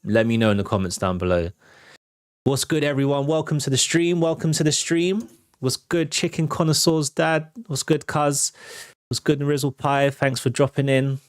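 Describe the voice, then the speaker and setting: A British man with a fairly low voice hosts a casual entertainment livestream. He talks conversationally with relaxed slang, working through his opinions out loud. The sound is dry, with no room echo. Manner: conversational, slangy, thinking aloud. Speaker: host of an entertainment news livestream. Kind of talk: casual livestream commentary on film and TV news.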